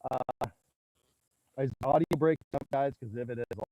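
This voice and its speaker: A man with a booming voice, a rancher, casually explaining grazing math on a livestream from his pasture. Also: fairly even intonation, brisk, gravelly, background noise. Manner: casual, folksy, explains step by step. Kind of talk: livestream teaching talk, chatting with viewers. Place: outdoors in a pasture among cattle. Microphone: small mic clipped to his hat.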